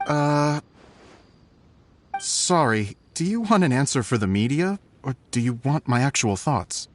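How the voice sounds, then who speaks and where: An actor playing a weary, resigned young adventurer in a video game, blunt and dry. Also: male voice, medium pitch, animated, measured pace, slight background noise, close to an everyday voice. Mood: resigned, dejected. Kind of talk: video game character acting.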